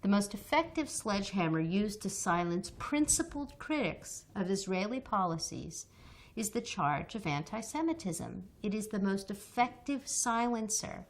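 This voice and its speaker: A woman's voice: mid-range voice, big pitch swings, moderate pace, a little hiss or hum. A woman from the United States answering interview questions in an earnest, passionate way, often restarting and repeating words as she builds her point. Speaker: interview guest, activist.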